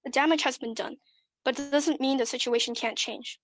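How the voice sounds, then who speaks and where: A woman's voice: sits high, steady tone, a little fast. A young woman delivering a prepared competition speech in an earnest, persuasive way. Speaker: student speaker.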